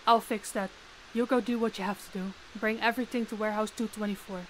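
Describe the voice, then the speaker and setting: A woman's voice: mid-range voice, steady tone, fast, a little hiss or hum, natural voice, rough recording. A woman playing a demanding, businesslike female crime boss who speaks formally and with authority in a roleplay audio drama. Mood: urgent, commanding.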